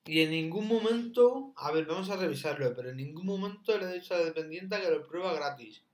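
A man's voice: fairly high pitch, animated, brisk, slight background noise. Young man mocking fights on webcam. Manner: mocking, repetitive exclamations. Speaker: reaction video host. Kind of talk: casual reaction commentary.

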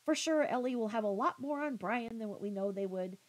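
A woman giving critical reaction commentary in a pointed, halting, questioning manner. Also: on the high side, animated. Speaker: commentary vlogger.

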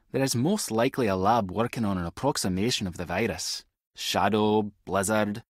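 A clever Scottish intelligence agent in an adventure game. His husky voice sits fairly low and swings freely in pitch. He is wry and tech-savvy, recorded close and dry. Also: no room sound. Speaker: video game voice actor. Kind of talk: scripted adventure game dialogue.

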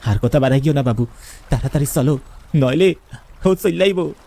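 A man's voice: medium pitch, lively intonation, moderate pace, warm tone, close to an everyday voice. A man playing a fearful, deferential village man in a horror cartoon, speaking a rural eastern Bengali dialect urgently. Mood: urgent warning.

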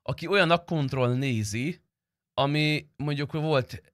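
Bright-voiced young man streaming. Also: on the high side, no echo, clean studio sound. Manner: informal, slangy, profane. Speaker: game streamer. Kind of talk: casual livestream chat.

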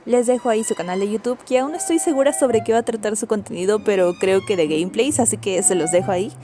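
A woman's voice: mid-range voice, fast talker, music in the background. A woman with a Latin American Spanish accent chatting casually as a channel host. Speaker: channel host and comic creator. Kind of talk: casual video intro and outro.

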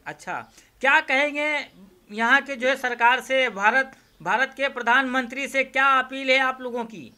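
Bright-voiced male field reporter. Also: very high voice, a little slow. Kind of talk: on-location interview and piece to camera. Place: small crowded indoor office. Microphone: handheld reporter microphone.

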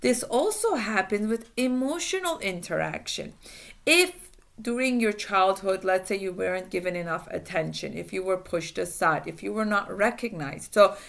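A woman in her forties whose first language is Farsi talks straight to her webcam from a small indoor room. She explains ideas earnestly, repeating phrases for emphasis, in a mid-pitched voice. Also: animated, normal speed, gravelly, a bit bright. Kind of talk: self-help talk to camera.

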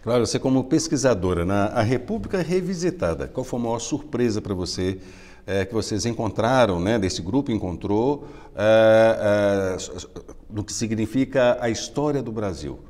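A middle-aged man hosting a TV studio interview, asking hesitant, halting questions. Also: lowish voice, expressive, a little mellow, in a large hall. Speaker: interviewer host. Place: television studio. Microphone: lapel mic.

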